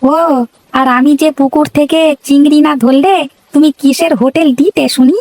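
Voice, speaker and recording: Cartoon village lad played by a woman, high voice, cheeky, insistent, enterprising hawker. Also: measured pace, a little mellow, no echo. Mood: cheeky, boastful. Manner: chatty, insistent, sing-out hawker calls. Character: cheeky, enterprising village boy hawking food. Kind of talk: Bengali cartoon folktale voice acting.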